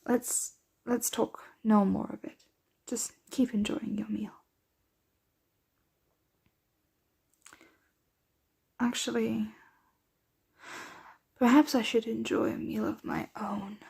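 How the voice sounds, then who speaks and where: A woman playing a centuries-old, doting, aristocratic vampire mistress in an ASMR roleplay, speaking softly, tenderly and without hurry, often slipping into whispers. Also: a little high, little pitch movement, gravelly, slightly rough, exaggerated voice. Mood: doting, reassuring. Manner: soft, unhurried, tender, often drifting into whispers.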